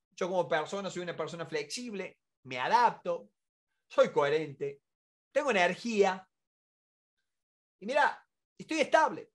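A man's voice: high-pitched, a bit bright. A man in his forties with an Argentine Spanish accent gives an informal lecture to camera, emphatic and full of rhetorical questions. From Córdoba, Argentina. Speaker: negotiation expert and instructor. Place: indoor room, seated at a desk.

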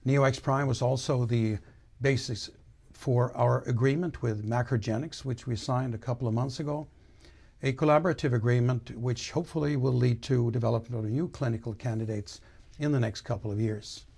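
An older man, a company board chairman, giving a measured, formal address with some hesitations, recorded on a lapel mic in a studio. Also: mid-range voice, steady tone, vocal fry, darkish tone, breathy edge. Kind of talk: prepared corporate address. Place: studio against a dark backdrop.

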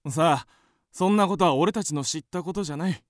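A man's voice: a little high, fairly quick, dry recording, studio quality, lightly acted. An actor playing an easygoing husband and father in a radio drama, with a light-hearted manner. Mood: reassuring. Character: easygoing, joking family man, husband and father.

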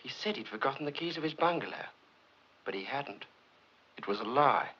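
An actor playing a suspicious, high-strung male scientist, tense and clipped in 1950s film style. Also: animated, a little fast, bright, forceful tone, slightly rough. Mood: shaken, confiding. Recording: dull, noisy 1950s film soundtrack.

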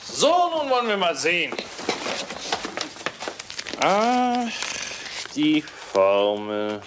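Slow, animated police inspector. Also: male voice, on the high side, full character voice. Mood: official, satisfied. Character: brisk, official male police inspector. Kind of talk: German radio crime drama acting. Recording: noisy old radio play recording.

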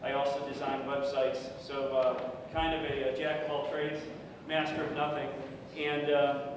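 A middle-aged man giving a casual conference talk, loud and chatty with many fillers. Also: medium pitch, level tone, unhurried. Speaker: conference speaker. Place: large conference room with projector. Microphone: podium microphone from far off. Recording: echoey room, distant mic, noisy.

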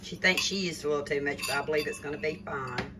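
An older woman chatting casually from behind a phone camera in a home kitchen, gently coaxing a child with tag questions while filming. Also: low-pitched, fast talker, very noisy. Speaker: vlogger filming a grandchild cooking. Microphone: phone camera held by the speaker. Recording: kitchen clatter of pots and dishes.

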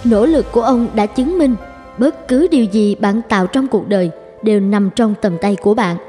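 A woman's voice: medium pitch, moderate pace, mellow, faint music behind. A woman reading scripted story narration as a narrator.

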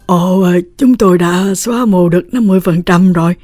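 A woman's voice: on the low side, brisk, dark tone, no echo, studio quality, soft background music, lightly acted. A woman voicing a blustering, simple cartoon village chief who talks defensively.

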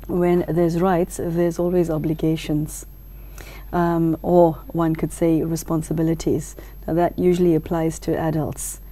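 A middle-aged woman interviewer putting reflective questions in careful, pausing phrases. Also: deep voice, flat delivery, warm tone. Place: large library hall, indoors.

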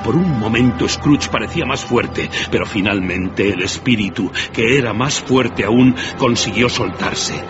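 A man's voice: fairly low pitch, unhurried, hardly any pauses. A man narrating a radio play as a dramatic scripted storyteller. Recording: dull band-limited audio, music underneath.

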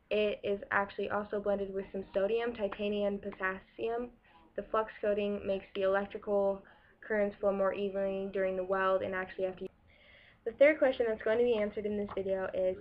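A young woman in a small room presenting a school project about welding to her webcam, in a casual way with many ums and restarts. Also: mid-range voice. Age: young adult. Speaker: welding student. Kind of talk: school project explainer video. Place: small room against a plain wall, indoors. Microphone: webcam in front of her. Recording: dull lo-fi webcam audio.

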